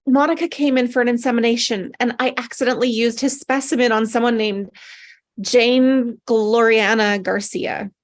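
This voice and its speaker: Woman acting a flustered, higher-voiced woman doctor. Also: steady tone, normal speed, close to an everyday voice. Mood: anxious, confessing. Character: anxious, panicked woman doctor, flustered and apologetic. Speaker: actor at a table read. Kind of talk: acted script reading.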